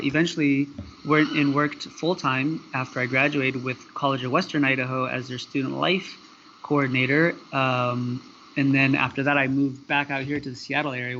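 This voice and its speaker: A man from the US answering interview questions in a halting, storytelling way, with many fillers. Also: mid-range voice, creaky, a bit bright. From United States. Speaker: guest, student affairs professional. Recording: dull lo-fi audio with faint noise.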